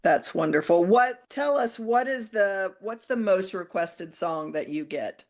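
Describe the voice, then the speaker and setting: A woman's voice: mid-range voice, animated, a little slow. A woman from Georgia co-hosting a casual podcast, asking curious questions and laughing easily. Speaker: podcast co-host.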